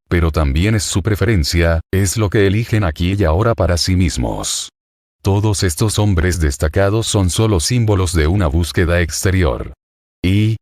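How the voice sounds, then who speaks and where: A man from Argentina with an Argentine accent, a psychoanalyst reading a scripted self-interview in a solemn, deliberate, self-assured way. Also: sits low, darkish tone, dry recording. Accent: Argentine Spanish.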